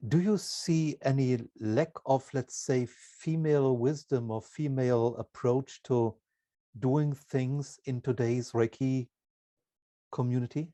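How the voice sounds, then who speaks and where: Mellow male interviewer with a dry sound. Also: medium pitch, steady tone, no room sound. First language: German. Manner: halting, deliberate, broken phrasing. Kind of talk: conversational interviewing.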